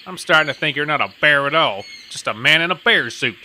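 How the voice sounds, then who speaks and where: A pompous traffic cop piling up alliterations, as a man acts him out, officious and prone to tongue-twisting rants in a comedy audio sketch. The voice is sharp and very bright. A faint hiss sits underneath it. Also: a little high, animated, brisk. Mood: ranting.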